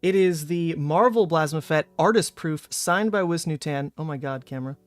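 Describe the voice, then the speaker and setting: Hoarse, chatty man streaming live. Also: a little high, normal speed, no echo, soft background music. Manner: rambling and chatty, frequent "uh" and "like". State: hoarse, voice partly gone. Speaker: card game streamer. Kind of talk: casual livestream chat.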